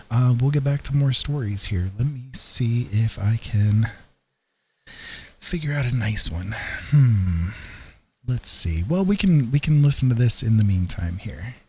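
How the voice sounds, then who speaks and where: A man's voice: on the low side, lively intonation, unhurried, mellow, a little hiss or hum. A man hosting a livestream, rambling casually and hesitantly as he thinks aloud while painting. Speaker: livestream host and digital painter.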